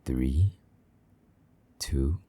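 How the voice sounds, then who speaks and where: Man voicing a very deep, husky, possessive vampire knight. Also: mellow, full character voice. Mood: domineering. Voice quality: low, husky, breathy, often half-whispered. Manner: slow, taunting, commanding. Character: possessive, jealous male vampire knight, condescending, sadistic. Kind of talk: intimate roleplay audio drama.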